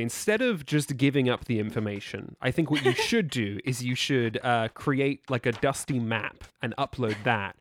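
A man's voice: mid-range voice, background noise. A man chatting casually as a podcast guest, wordy and drily playful in how he talks.

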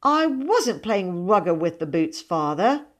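A woman's voice: medium pitch, sing-song, normal speed, pitched above the performer's own voice. A woman voicing a talkative young boy in a storybook, earnest and unruffled, with colloquial grammar. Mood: calm, patient. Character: meek-looking, unflappable, talkative young boy.

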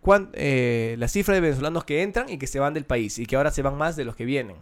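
A man with a Peruvian accent, co-hosting a casual chat and talking in an animated, irritated way with many restarts. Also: medium pitch, fast. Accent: Peruvian Spanish. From Peru.

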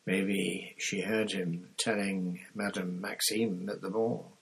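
A man's voice: mid-range voice, sing-song, normal speed, vocal fry, slightly rough, heavily acted, rough recording. A man voicing an earnest teenage schoolgirl with a questioning manner.

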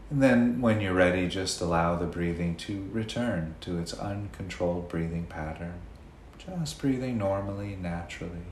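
A man leading a guided meditation in a gentle, unhurried way, leaving long pauses between phrases. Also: deep voice. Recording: roomy echo, faint background noise.

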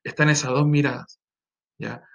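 Chilean male teacher, gravelly mid-range voice, rambling lecture with lots of fillers and check-ins. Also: mid-range voice, steady tone, moderate pace, a bit bright, dull audio. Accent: Chilean Spanish. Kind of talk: recorded class lecture.

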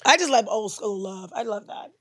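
A woman's voice: mid-range voice, expressive, normal speed, a bit bright, dry recording, clean studio sound. A young woman co-hosting a casual podcast about bar life. She gushes, repeats herself and restarts her sentences a lot. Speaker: podcast co-host and bartender. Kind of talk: casual chat.

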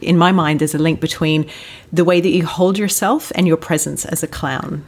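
A woman with a slightly low voice hosts an interview podcast. She speaks in an enthusiastic, conversational manner. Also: fairly even intonation, normal speed. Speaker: podcast host.

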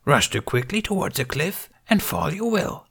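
Man putting on a tiny old alien master, high airy half-whisper, gravelly edge, backward riddling sentences, audiobook voice. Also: expressive, moderate pace, exaggerated voice. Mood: serene. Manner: inverted word order, gentle and riddling. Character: tiny ancient green alien master, serene. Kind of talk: audiobook character voice.

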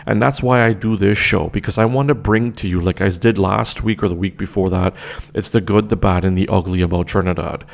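A man's voice: low voice, steady tone, fairly quick, creaky. A man from Canada hosting his own show, talking emphatically with many fillers and restarts into a desk microphone. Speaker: podcast host. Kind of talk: opinionated solo commentary.

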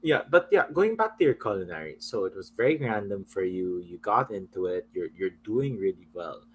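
Lively, teasing male host. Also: deep voice, normal speed, slight background noise. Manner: frank and teasing. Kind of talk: casual chat.

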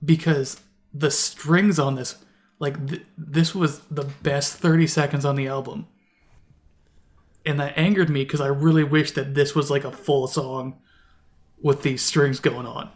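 Rambling man with a slightly high voice. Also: flat delivery, measured pace. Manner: rambling, many restarts and "like" fillers. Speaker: podcast co-host. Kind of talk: casual album review chat.

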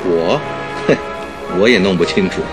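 A man playing a thoughtful, modest doctor and detective's companion in a Mandarin radio drama, pondering deliberately. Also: sits low, music in the background.

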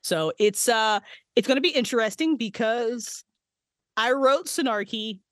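A woman voice actress and writer, a podcast guest, talking excitedly and swearing freely about her projects. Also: on the high side, measured pace, dry recording, studio quality. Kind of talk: casual podcast interview. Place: small handmade vocal booth.

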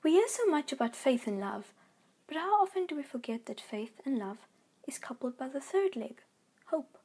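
A woman reading a scripted devotional message in an earnest, measured, encouraging way. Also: sits high, very animated, darkish tone. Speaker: devotional reader.

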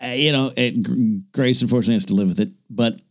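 A man's voice: medium pitch, fast. A man co-hosting a casual podcast chat, joking around and slipping into silly voices for his bits. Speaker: co-host.

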